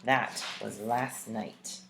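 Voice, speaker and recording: A woman reading a children's story aloud with expressive, deliberate phrasing that leans on the dialogue. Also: extremely low pitch, gravelly, background noise. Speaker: read-aloud narrator. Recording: roomy, echoing room sound.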